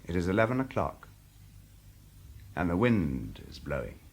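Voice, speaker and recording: A man narrating an audio drama in a deliberate, expressive, dramatic storytelling style. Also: deep voice, noisy background. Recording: loud music under the voice. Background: music.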